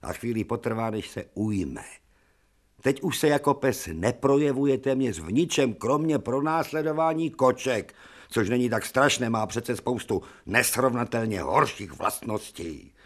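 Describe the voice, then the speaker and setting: An actor playing a stern older professor and surgeon in a radio play, lecturing and commanding. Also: male voice, mid-range voice, animated. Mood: grave.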